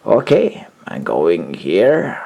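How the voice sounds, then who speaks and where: Halting low-voiced man with lively, swinging pitch. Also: vocal fry. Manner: halting, hesitant, searching for words. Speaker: host of a retro computer channel. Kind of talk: casual unscripted tech demonstration.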